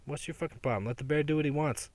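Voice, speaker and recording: A man's voice: a little high, racing, a little mellow, no room sound. Profane, sarcastic man rambling outdoors. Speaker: podcast host. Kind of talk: rambling casual monologue to camera. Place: outdoors in daylight.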